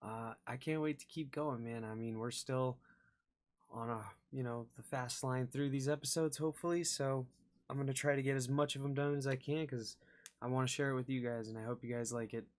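A man's voice: mid-range voice, fairly even intonation, brisk. A young man giving casual commentary as a reaction video host, indoors in a small room.

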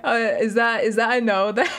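A young woman from the north of England with a softened northern accent, chatting casually and giggling as a streamer while she plays and reads chat aloud. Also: a little high, animated, moderate pace. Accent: Northern English, softened. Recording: game music behind the voice.

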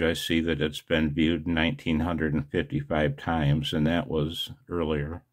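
Older male vlogger, very low and husky, halting confessional delivery, casual and unscripted. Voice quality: gravelly, husky. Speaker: amateur radio video blogger. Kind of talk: personal screen-share vlog, casual monologue.

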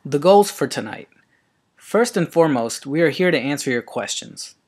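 A man from Maryland, an eye surgeon, reading his introduction and a presentation in an earnest, even way. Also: on the high side, moderate pace, slightly rough. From Maryland, USA. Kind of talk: read-aloud self-introduction and presentation.

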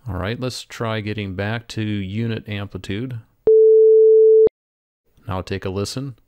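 A man narrating a software tutorial as an instructor, in a measured, step-by-step way. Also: fairly low pitch, fairly even intonation, a little hiss or hum. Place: close, dry voice-over for screen recording.

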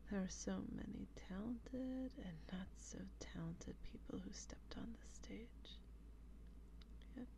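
A woman plays a female vampire in an intimate ASMR role play. She whispers extremely slowly and deliberately, with a creaky, breathy edge. Her manner is teasing and wistful, full of close affection. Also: mid-range voice, low-quality recording.

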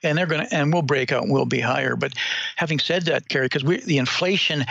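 A man's voice: fairly high pitch, fast, gravelly, a bit bright, no echo, dull audio. An older man, a guest economic analyst, answering interview questions in a rambling way with many restarts and repeated words.

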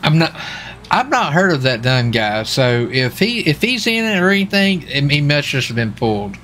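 A man's voice: fairly high pitch, sing-song, normal speed, sharp tone, a little hiss or hum. An American man talking casually in a folksy, slangy way as he streams a police roleplay game.

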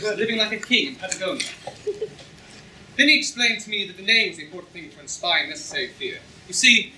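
A man's voice: sits high, pushed and bright, heavily acted. A young man playing a dashing, witty, defiant pirate hero in an amateur staged reading, with a theatrical delivery. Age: young adult. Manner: theatrical, declamatory. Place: lecture hall stage. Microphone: far camera. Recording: echoey lecture hall, distant, audience noise.

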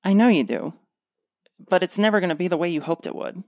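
Wry grumpy wife in a radio play woman acting it, deadpan, terse, very dry sound. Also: on the low side, fast, no echo. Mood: wry, resigned.

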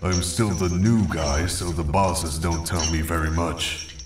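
Man voicing a deep, echoing ghost king. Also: steady tone, measured pace. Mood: solemn. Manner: formal, grave. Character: solemn, courteous ghost of a fallen villain king. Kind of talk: cartoon comic dub acting. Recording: echoing voice under loud music.

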